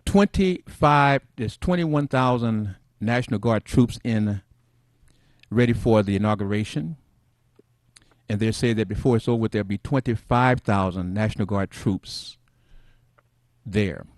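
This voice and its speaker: Man, middle-aged, host, soft-spoken, rambling, low voice, dry sound. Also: unhurried, no room sound. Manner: soft-spoken, rambling, addresses viewers as family. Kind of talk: casual call-in talk show.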